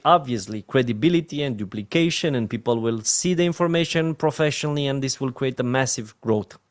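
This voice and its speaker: A man with a Romanian accent and a fairly high voice gives a training talk. He talks in emphatic bursts and keeps checking in with his listeners. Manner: emphatic, often asks "right?" and "my friend". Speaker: network marketing trainer.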